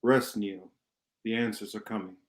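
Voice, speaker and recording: A man playing a calm, wise rebel ship captain in an amateur radio play, measured and explanatory, recorded in an echoey room. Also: on the low side, natural voice. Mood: calm, grave.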